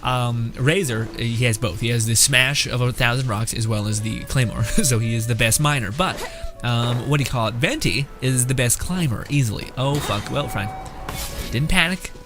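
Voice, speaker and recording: Young male streamer, medium pitch, rambling sarcastic gameplay banter, profane, game music behind. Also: normal speed. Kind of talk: casual joking gameplay commentary.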